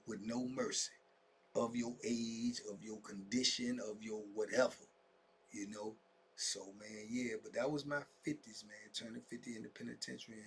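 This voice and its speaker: A man's voice: medium pitch, gravelly, slight background noise. A man in his fifties telling his own story to camera in a casual monologue, full of street slang and fillers like "man" and "you dig", sometimes dropping to a whisper. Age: 50s.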